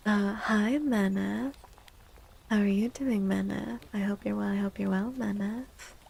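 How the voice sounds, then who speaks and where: A woman speaks softly in an ASMR livestream style, often half-whispering. Her voice is dark and breathy with plenty of creaky fry. She murmurs gently and takes her time as she chats. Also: medium pitch, steady tone, a little slow. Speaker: livestream host and tarot reader. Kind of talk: soft-spoken ASMR livestream chat.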